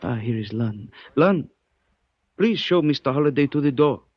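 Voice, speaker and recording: An actor playing a calm, courteous Chinese antique shopkeeper who speaks formally and philosophically in a 1940s radio drama. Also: male voice, medium pitch, moderate pace, no echo, lightly acted. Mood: polite, firm. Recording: dull old 1940s radio recording.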